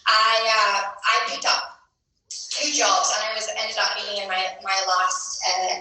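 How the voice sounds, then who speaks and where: A woman in her twenties, her accent a mix of British and Australian, shares a personal story in a motivational talk. Her voice is bright and forceful, her delivery frank and self-deprecating. The recording is distant, with strong echo from a big room. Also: mid-range voice, flat delivery, a little slow, a little hiss or hum. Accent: mixed British and Australian. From grew up in the UK and Sydney, Australia. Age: 20s. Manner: candid, self-deprecating, conversational. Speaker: speaker at a business retreat. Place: large room before an audience of two hundred.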